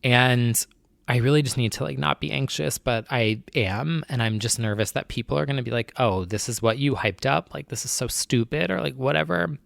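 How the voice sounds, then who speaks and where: A man's voice: mid-range voice, normal speed, vocal fry, a bit bright, no echo. A young man hosting his own podcast, talking in a casual, anxious, rambling way full of fillers and restarts. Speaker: podcast host. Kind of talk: casual solo chat.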